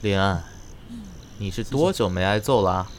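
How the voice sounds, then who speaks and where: A man's voice: on the low side, moderate pace, a little hiss or hum, close to an everyday voice. An actor playing a teasing, easygoing young male heartthrob in a Chinese audio drama. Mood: dry teasing.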